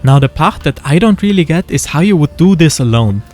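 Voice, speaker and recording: A man with a German accent talks through a miniature build in an easygoing voice-over. His voice sits a little high for a man, and his humour is dry and understated. Faint music plays underneath. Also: a little fast. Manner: relaxed and wry, with dry jokes. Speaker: model-building video creator. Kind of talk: casual voice-over narration of a craft build. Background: faint background music.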